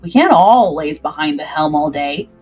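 A woman's voice: low voice, animated, moderate pace, darkish tone, husky, soft background music, voice pushed down. A woman voicing a cheeky, eager sixteen-year-old cabin boy with youthful, casual banter in a pirate story. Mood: teasing.

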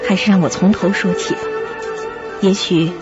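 A woman's voice: fairly low pitch, fairly even intonation, moderate pace, natural voice. A woman playing a pensive, uneasy young woman who narrates a radio drama mystery in the first person. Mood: troubled, searching. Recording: dull lo-fi audio. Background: music.

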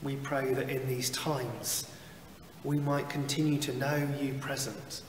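Slow man reading prayers in an echoey chapel. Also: mid-range voice, fairly even intonation, far from the mic, slight background noise. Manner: slow, measured liturgical delivery. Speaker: chaplain leading worship. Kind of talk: reading scripture and leading prayers. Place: small chapel with wood-panelled wall. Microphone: far camera, seated behind a lectern.

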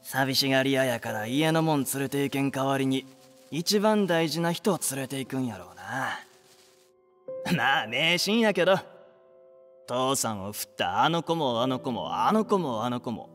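A man's voice: medium pitch, big pitch swings, moderate pace, a bit bright, in a large hall, faint music behind. A man playing an easygoing rural father in a voice comic, matter-of-fact and teasing, with a Mie accent. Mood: wry. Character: easygoing rural father telling a family superstition. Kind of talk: voice comic drama acting.

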